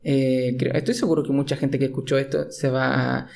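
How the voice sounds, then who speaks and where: A young Chilean man with a gravelly, creaky voice hosts a relaxed podcast chat. He speaks in a mid register, circling back and restarting his sentences as he thinks. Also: medium pitch, fairly quick. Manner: hesitant, restarts and fillers. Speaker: podcast host. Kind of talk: casual conversational podcast.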